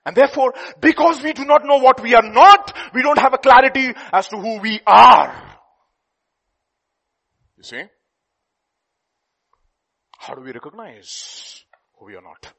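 A man preaching a sermon, emphatic, jumping between loud declarations and hushed asides. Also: very high voice, animated, measured pace, creaky, pauses often, breathy edge. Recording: long hall reverb.

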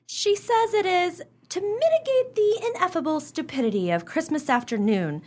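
Woman acting a high-voiced, arch, gossipy wife. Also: expressive, normal speed, a little mellow. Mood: arch, resigned. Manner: theatrical, precise, arch. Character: gossipy, drily witty small-town wife. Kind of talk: stagey comedic radio play acting.

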